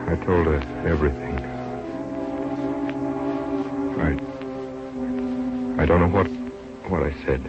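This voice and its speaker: An actor playing an earnest, devoted doctor in an old-time radio drama. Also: male voice, a bass voice, steady tone, moderate pace. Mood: grieving, subdued. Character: earnest, devoted male doctor running a field experiment. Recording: muffled vintage radio recording with music.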